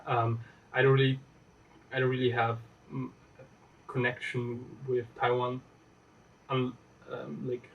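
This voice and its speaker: A man's voice: medium pitch, measured pace, vocal fry, pauses often, narrow, tinny audio, slight background noise. Young man, slightly distant. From Austria. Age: 20s. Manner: relaxed, rambling, lots of fillers and restarts. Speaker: guest. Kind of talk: casual interview chat.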